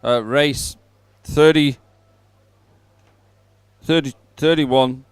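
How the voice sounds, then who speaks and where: A man giving live sports commentary in a chatty, somewhat halting announcing style. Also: medium pitch, steady tone, clear, resonant tone.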